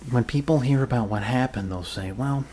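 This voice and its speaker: A man's voice: mid-range voice, normal speed, warm tone, a little hiss or hum. A man improvising an ASMR roleplay as a goofy, reassuring doctor, rambling casually and joking as he goes.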